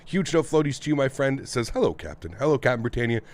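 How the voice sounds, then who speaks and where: A man's voice: medium pitch, very animated, fast talker, darkish tone. Upbeat nasal male stream host. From North America. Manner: upbeat, friendly, greets viewers one by one. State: congested throat from the weather. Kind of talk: casual live stream chat, greeting viewers. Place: home flight sim setup.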